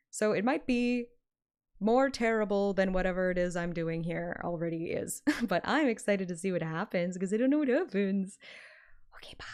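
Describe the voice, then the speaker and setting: A woman chatting casually on a livestream in an animated, self-deprecating and sometimes sweary way. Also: medium pitch. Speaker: live streamer and amateur voice actor. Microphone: microphone at adjustable close distance.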